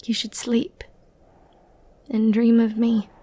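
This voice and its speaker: A woman playing an ancient, flirty and dangerous banished villainess in an intimate ASMR roleplay, breathy and often near a whisper, with tender, brooding, dramatic pauses. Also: a little high, completely flat delivery, mellow, dry recording, voice pushed down. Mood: devoted, soft.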